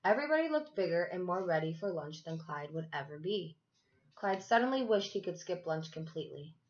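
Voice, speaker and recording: A woman's voice: lowish voice, animated, a little fast, a little mellow. Woman reading a storybook, slightly dull sound. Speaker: school teacher reading to third graders. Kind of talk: expressive children's storybook read-aloud.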